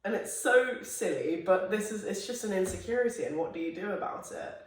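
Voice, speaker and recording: A young woman with a deep voice chats frankly on a podcast, laughing easily. She sits well back from the mic. The room rings with a strong echo. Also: animated, a little fast. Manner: frank, laughs often, chatty. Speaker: podcast co-host. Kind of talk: casual chat. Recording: echoey room, far from the mic.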